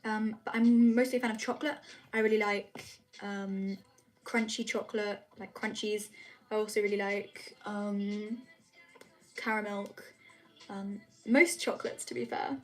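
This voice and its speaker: A woman's voice: mid-range voice, fairly even intonation, unhurried, faint music behind. A young woman chatting casually with her viewers on a live stream, rambling with lots of fillers. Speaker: vlogger answering chat.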